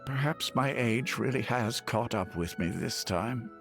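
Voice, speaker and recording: An actor voicing a humble, soft-spoken elderly village chief in a video game who is losing his eyesight. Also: mid-range voice, a little slow, vocal fry. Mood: kindly. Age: older. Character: elderly half-blind male village chief, gentle and humble. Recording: faint game music behind.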